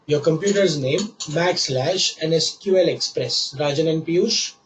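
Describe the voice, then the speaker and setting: A man teaching a live online class while he has a cold, patient and repeating each step slowly. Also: a little high, low-quality recording. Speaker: online course instructor.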